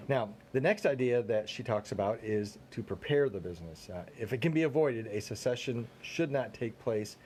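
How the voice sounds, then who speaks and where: A man's voice: mid-range voice, expressive, normal speed, hardly any pauses, a little mellow, a little hiss or hum. A middle-aged man co-hosting a business discussion in a casual, folksy, joking way, half reading and half chatting. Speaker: co-host of a business talk show. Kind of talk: casual scripted discussion with banter.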